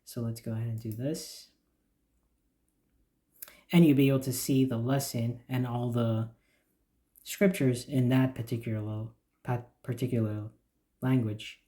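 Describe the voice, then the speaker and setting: A man explaining the features of a church website in a steady, plain walkthrough with occasional fillers. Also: medium pitch, unhurried, long pauses. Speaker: church website developer giving a demo. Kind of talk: screen-recorded software walkthrough.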